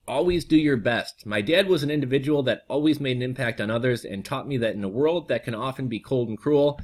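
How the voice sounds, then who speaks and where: A young man with a hoarse, worn voice reads an article to the camera in a steady, mid-pitched way. Between passages he drops into relaxed vlog chatter, with plenty of "uh" and "um" as he talks. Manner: reads steadily, then chats loosely with fillers. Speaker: vlogger. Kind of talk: reading an article aloud with casual asides.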